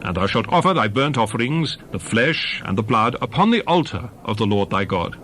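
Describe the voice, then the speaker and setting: A man's voice: fairly low pitch, sing-song, normal speed, fairly bright tone, slight background noise. A man giving a dramatized scripted reading of scripture as narrator, in a solemn, declamatory and emphatic manner.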